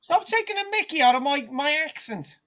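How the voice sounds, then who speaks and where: A woman's voice: high voice, sing-song, brisk, bright, forceful tone, highs cut off. A woman hosting a casual group chat. She teases the others and often restarts her sentences. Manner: stammering restarts, teasing, chatty.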